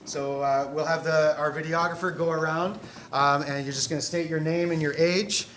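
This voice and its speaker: A man's voice: a little high, fairly even intonation, normal speed. A man in his forties giving an informal rules briefing to a group as an event organizer, easygoing and often checking in with short tag questions. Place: large echoey indoor training hall with mats. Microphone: distant camera microphone. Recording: echoey room, distant mic, loud background noise.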